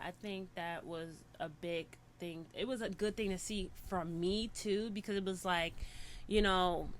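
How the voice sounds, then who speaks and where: A woman in her thirties hosting a podcast, chatting casually and telling stories animatedly. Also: fairly low pitch. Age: 30s. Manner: animated storyteller, swings from soft musing to loud excitement. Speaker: podcast host.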